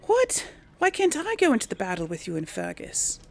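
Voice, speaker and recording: A woman role-playing a young, fierce, headstrong noble daughter in a fantasy game, with a creaky voice. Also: mid-range voice, huge pitch swings, normal speed. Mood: indignant, insistent. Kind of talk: role-played game dialogue.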